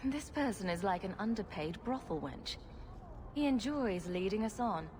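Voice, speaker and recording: A woman's voice: mid-range voice, animated, normal speed, big-room sound, noisy background, lightly acted. An actress playing a wry, sarcastic female rogue adventurer in a fantasy video game, with a dry delivery. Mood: wry, flippant. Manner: dry, flippant.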